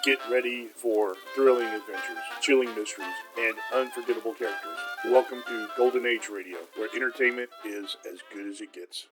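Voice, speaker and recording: A man's voice: mid-range voice, fairly quick, hardly any pauses, low-quality recording. A man delivering a scripted promotional introduction as a narrator. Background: music.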